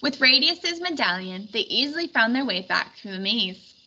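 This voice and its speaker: A woman reading a storybook aloud in expressive scripted narration. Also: on the high side, normal speed. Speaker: storybook reader. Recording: dull recording with faint background noise.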